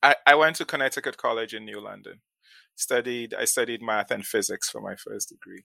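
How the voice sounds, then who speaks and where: Bright-voiced man repeating words as he starts. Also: fairly low pitch, a little fast, creaky, no echo. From Ghana. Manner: repeats words often when starting, laughs lightly. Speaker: guest, venture capital investor. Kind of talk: casual interview talk.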